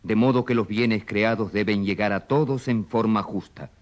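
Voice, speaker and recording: An actor playing a venerable church authority, reciting gravely and formally in a radio drama. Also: male voice, fairly low pitch, normal speed, lightly acted.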